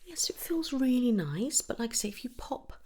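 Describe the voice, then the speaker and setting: A woman speaks in a soft, breathy, half-whispered ASMR style, gently reassuring like an attentive make-up artist in a one-to-one roleplay, close to the microphone. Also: sing-song, moderate pace. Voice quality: breathy whisper mixed with soft low speech.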